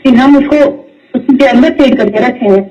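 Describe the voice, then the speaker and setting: A woman's voice: high-pitched, normal speed, mellow, highs cut off. Woman on a distant replayed phone recording. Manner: patient, step-by-step, repeats key points. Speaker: government election official. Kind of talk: explaining procedure on a phone call. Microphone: phone call played back into a microphone.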